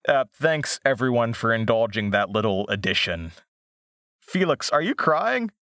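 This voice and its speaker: A man acting as an earnest, reflective radio show host in an audio drama, speaking in a measured way with pauses. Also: medium pitch, very animated, fairly bright tone, dry recording, clean studio sound, full character voice. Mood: relieved, casual.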